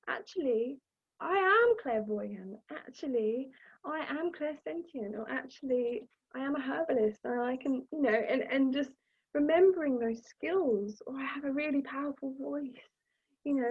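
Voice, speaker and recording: Husky woman with tinny sound. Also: a little high. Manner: flowing, gentle, many fillers. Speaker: astrology presenter. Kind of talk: spiritual talk.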